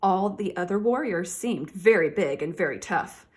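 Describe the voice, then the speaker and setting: A woman teacher doing a read-aloud for children, talking directly to her young listeners in an animated way. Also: fairly low pitch, moderate pace.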